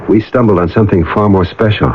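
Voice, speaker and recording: An actor playing a young adventurous deep-sea diver in a radio drama, dreamy and entranced as he tells his story. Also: steady tone, fast, a little hiss or hum, lightly acted. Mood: entranced, insistent. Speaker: actor narrating and playing the lead. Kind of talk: radio drama acting with first-person narration. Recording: dull vintage radio recording, music behind.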